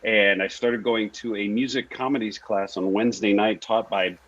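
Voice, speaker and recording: A man's voice: fairly low pitch, fairly even intonation, normal speed, fairly bright tone. A man, an actor and singer, telling his story casually as an interview guest. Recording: echoey room, dull lo-fi audio.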